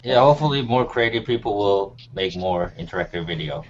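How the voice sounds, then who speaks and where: A man's voice: fairly low pitch, expressive, brisk. A man giving his opinions casually as a panelist on a music talk show. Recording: muffled audio with faint noise.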